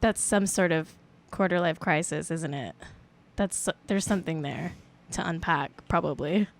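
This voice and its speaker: A woman's voice: lowish voice, unhurried, vocal fry. A woman in her late twenties hosting a live stream show, chatting in a rambling, playful, self-mocking way. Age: 20s. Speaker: show host. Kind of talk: casual livestream chat.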